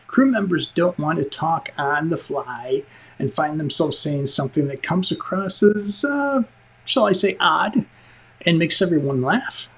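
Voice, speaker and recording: Husky man teaching, higher-pitched voice. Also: lively intonation, unhurried, a bit bright. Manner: emphatic, jokey asides. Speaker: instructor and show host, film director. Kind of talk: instructional presentation, conversational.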